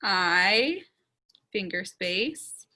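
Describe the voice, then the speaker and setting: A young woman teacher recording a writing lesson for small children, sounding out each word slowly and stretching the letter sounds. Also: mid-range voice, expressive, pushed and bright.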